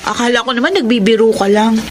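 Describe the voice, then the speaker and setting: A woman's voice: on the high side, fast, a little hiss or hum, close to an everyday voice. A Filipino actress playing a guarded, easily hurt young working woman in a radio drama. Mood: shy.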